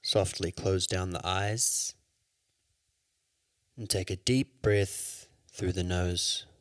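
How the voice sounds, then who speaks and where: Slow, low-voiced man guiding meditation. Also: fairly even intonation, no echo. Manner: soothing, measured instruction. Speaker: yoga nidra instructor. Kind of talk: guided meditation.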